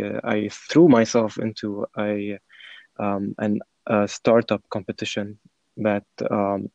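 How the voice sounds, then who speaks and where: Podcast guest: man, 20s, lowish voice, reflective and halting, dry lo-fi sound. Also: vocal fry, a little mellow, no room sound. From Syria. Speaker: podcast guest, former startup program participant. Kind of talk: conversational personal storytelling in an interview.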